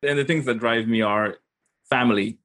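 A man's voice: medium pitch, moderate pace, fairly bright tone. Informal, emphatic man telling stories. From Zimbabwe. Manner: informal, emphatic, often checks in with "right?". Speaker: interview guest, consultant and coach. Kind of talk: animated personal storytelling in casual conversation.

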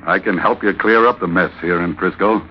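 An actor playing a breezy, sly, scheming sailor in old-time radio drama, cocky, insinuating and easygoing. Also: male voice, deep voice, fairly quick, slight background noise, lightly acted. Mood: persuasive, amused. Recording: dull vintage radio recording.

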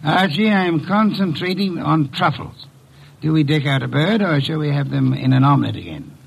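An actor playing an older, portly, pompous detective genius in old radio drama style, speaking formally and precisely with a gravelly, husky voice. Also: male voice, on the high side, lively intonation, normal speed, a little hiss or hum, poor audio. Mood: fussy, musing.

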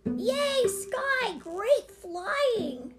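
Lady doing an excitable little boy in a kids story, really high, eager, music behind. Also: takes it slowly, full character voice. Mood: excited. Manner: eager, childlike.

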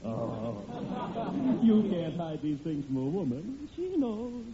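A man playing an excitable middle-aged American store boss, blustery and scheming yet doting, in old radio comedy acting. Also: a little high, takes it slowly, a loud crowd. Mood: wheedling. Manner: excitable, stammering, wheedling. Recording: muffled, noisy vintage radio recording.

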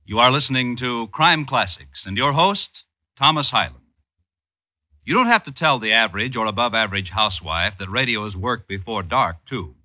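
A man's voice: mid-range voice, animated, normal speed, bright, forceful tone. A man announcing in a polished, formal 1950s radio style, reading scripted promotional copy.

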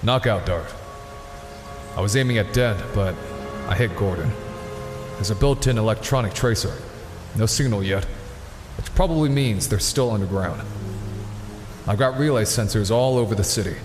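A man's voice: lowish voice, normal speed, pauses often, roomy sound, in a large hall, over music, lightly acted. A man voicing a grim male vigilante who speaks in clipped, terse lines. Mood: focused.

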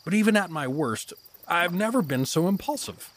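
A man's voice: high voice, expressive, normal speed, a bit bright. A man playing a bumbling, good-natured married everyman hero, hesitant and folksy, in a fantasy comedy audio drama. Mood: guilty.

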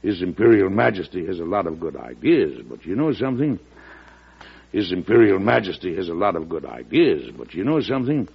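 An actor with an American accent playing a shrewd, genial, avuncular president in an old-time radio drama, in a deliberate storytelling manner. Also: male voice, low-pitched, sing-song, gravelly, breathy edge, full character voice. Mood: wry, confiding. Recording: dull lo-fi vintage radio broadcast.

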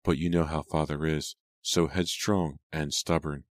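Storybook part: male reader as kindly young daughter, sincere and gentle, deep-toned. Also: flat delivery, measured pace, no echo, clean studio sound. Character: kind, considerate young woman, younger daughter.

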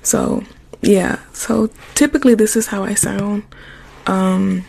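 A young woman with a naturally quiet, soft voice chatting casually with viewers on an ASMR livestream, close to a taped microphone. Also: medium pitch, steady tone, slow, gravelly, husky. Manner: chatty, answers viewer comments, trails into whispers. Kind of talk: casual live-stream chat with viewers. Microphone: close mic with tape on it. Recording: faint rustling noise from taped mic.

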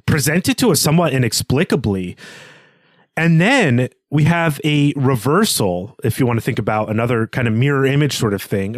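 A man's voice: mid-range voice, dry recording. Man, mid-range voice, earnest literary commentary, explanatory, bookish, podcast co-host, very dry recording. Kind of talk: literary discussion, analytical commentary.

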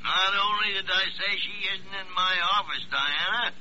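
An actor playing a crusty, impatient old senior doctor with a sarcastic, blustering manner in an old-time radio drama. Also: male voice, high-pitched, moderate pace, sharp tone. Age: older. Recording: muffled, hissy vintage radio recording.

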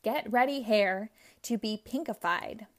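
A woman reading a storybook aloud, voicing an excited little girl who loves pink. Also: measured pace. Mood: eager. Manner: eager and high-spirited. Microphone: close studio-style mic, audio only.

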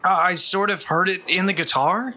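An actor in an audio drama playing a shy young male guitarist who speaks in a halting, stammering, apologetic way. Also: sits high, pushed and bright. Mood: hesitant. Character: shy, hesitant young male guitarist, quietly obsessed with music. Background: guitar music.

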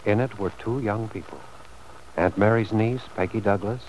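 A man narrating an old-time radio drama from a script in a measured storytelling manner. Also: lowish voice, darkish tone. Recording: faintly noisy vintage radio recording.

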